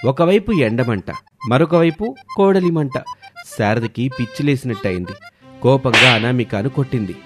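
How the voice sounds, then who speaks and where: A man's voice: mid-range voice, animated, moderate pace, over music. A man narrating a scripted family moral story as its storyteller.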